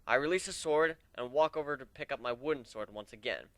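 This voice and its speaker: A man giving a theatrical, expressive first-person dramatic reading of a story. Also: mid-range voice, brisk, no room sound. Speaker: amateur reader of fan fiction.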